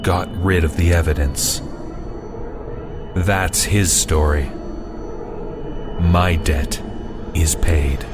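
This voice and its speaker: A man's voice: extremely low pitch, steady tone, extremely slow, long pauses. A man narrating a scripted first-person horror story in a conversational way with wry asides. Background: music.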